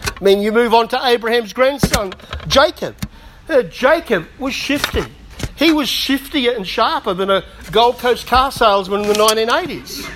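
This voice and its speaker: A middle-aged man preaching a sermon in a conversational way, with humorous asides, slightly hoarse as he is losing his voice. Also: extremely high pitch, animated, normal speed, noisy background. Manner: conversational, self-deprecating humour, frequent asides. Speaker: pastor.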